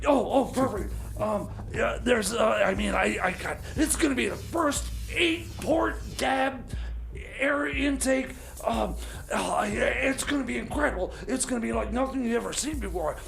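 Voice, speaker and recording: A man playing a flustered, eager time machine mechanic in radio comedy, stammering and rambling haltingly. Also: very high-pitched, animated, vocal fry, a bit bright, full character voice. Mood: excited, fumbling.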